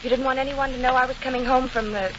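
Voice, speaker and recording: High-voiced, brazen radio-comedy schemer. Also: female voice, fairly even intonation, fast talker, fairly bright tone. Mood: sugary, sly. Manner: theatrical, sweetly sly endearments. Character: quick-witted, brazen aunt posing as a con man's wife. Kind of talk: 1940s radio comedy acting. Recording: muffled, noisy 1940 radio broadcast.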